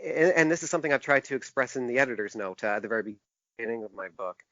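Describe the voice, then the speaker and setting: A man giving thoughtful, halting answers as an interview guest, often repeating words as he thinks. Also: mid-range voice, lively intonation, fairly bright tone, no echo. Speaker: interview guest, publisher and graphic designer. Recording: dull, lo-fi, dry sound.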